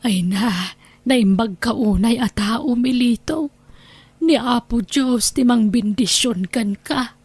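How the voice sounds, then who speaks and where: A woman's voice: fairly high pitch, takes it slowly, darkish tone, husky, dry recording. A woman playing a humble, grateful, weary woman in need in an audio drama, drawing out her words.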